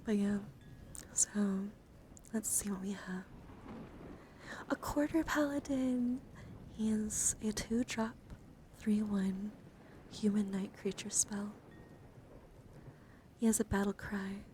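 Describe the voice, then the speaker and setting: Softly whispering woman, ASMR stream. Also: mid-range voice, flat delivery, takes it slowly, pauses often, a little hiss or hum. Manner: gentle, chatty, encouraging to viewers. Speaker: livestream host. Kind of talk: whispered ASMR, showing and reading out trading cards. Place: indoor livestream, hands-on camera.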